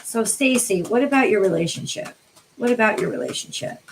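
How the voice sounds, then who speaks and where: An American woman from the New York City area reads tarot on a live stream, chatting informally with viewers by name, her voice tiring and getting a little hoarse. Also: on the high side, animated, fairly quick, a little hiss or hum, low-quality recording. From New York City area, USA. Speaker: tarot card reader, livestream host.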